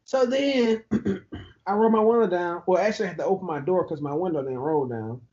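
A man, telling an animated story in a casual podcast chat. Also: fairly quick, muffled recording. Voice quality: high-pitched voice. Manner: animated storyteller who laughs easily.

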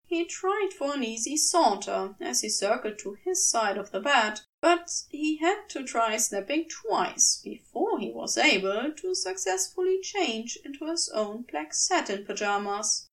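Slow, high-voiced woman narrating fiction expressively. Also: sing-song, recorded from a distance. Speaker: fan fiction podfic reader. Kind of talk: expressive scripted story narration.

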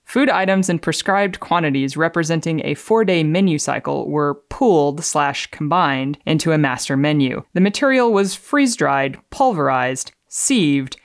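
A woman's voice: sits low, dry recording, studio quality. A woman on a podcast reading technical text aloud and explaining science, enunciating the terms carefully.

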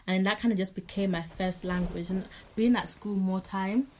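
A woman's voice: mid-range voice, fairly even intonation, moderate pace, a little mellow, a little hiss or hum, rough recording. Chatty young woman. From Zimbabwe. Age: young adult. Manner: talkative, emphatic, laughs often. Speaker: podcast co-host. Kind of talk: casual chat.

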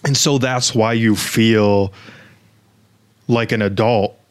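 A man's voice: lowish voice, steady tone, slow pace, clear, resonant tone. A man in his twenties co-hosting a podcast, talking in a laid-back, loose way and swearing casually. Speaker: podcast co-host. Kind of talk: casual chat.

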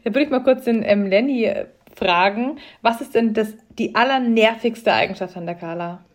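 A woman from Franconia hosting a podcast, chatting in a lively, joking way and often cutting in. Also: a little high, normal speed. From Franconia, Germany. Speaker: podcast host. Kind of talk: casual chat.

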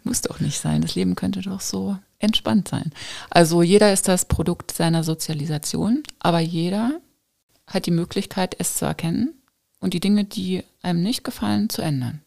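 A woman with a fairly low voice hosts a podcast. She speaks in a calm, encouraging, reflective way, like a coach guiding her guest. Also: moderate pace, gravelly. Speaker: podcast host. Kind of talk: casual podcast conversation.

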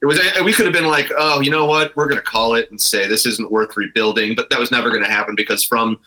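A man's voice: medium pitch, fast, pushed and bright, poor audio. An American man speaking candidly and informally as an interview guest, often breaking off and restarting his storytelling. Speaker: interview guest, brewery owner. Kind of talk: casual, candid conversation.

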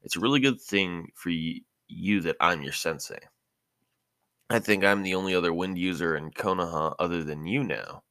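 A man's voice: low-pitched, moderate pace, bright, forceful tone, no echo. A man voicing a dry, patient adult ninja teacher in a fan fiction audiobook, instructive and mildly exasperated. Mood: smug.

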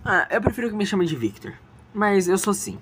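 A woman's voice: mid-range voice, big pitch swings, fairly quick, breathy edge, voice pushed up. A woman with a Brazilian accent voicing a hesitant, evasive teenage schoolboy in an audio drama. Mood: curious, polite.

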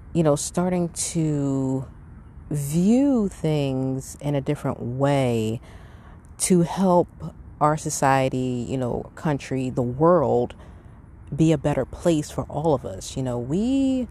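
A middle-aged podcast host speaks in a medium-pitched voice, thinking aloud in an earnest, casual monologue. The recording is made outdoors, with faint wind and ambient noise around the voice. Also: warm tone. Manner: conversational, earnest. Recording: windy outdoor background noise.

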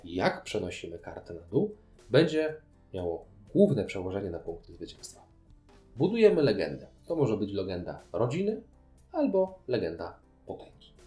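A low-voiced man talks through tabletop games in a detailed, explanatory chat. His tone is dark and mellow. The recording is roomy and echoey. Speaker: podcast co-host. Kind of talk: board game review chat.

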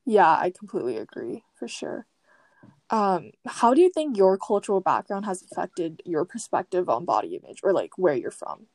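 Young woman chatting, slightly low voice. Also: sing-song, moderate pace, darkish tone, dry recording. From Japan and Taiwan. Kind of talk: casual conversational discussion.

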